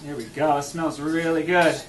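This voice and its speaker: A man in his thirties with a fairly high voice hosts a cooking show in an upbeat, agreeable way. He is picked up from a distance in an echoey room. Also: flat delivery, moderate pace, a little hiss or hum. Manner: upbeat, agreeable, laughs often. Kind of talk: comedic cooking show patter.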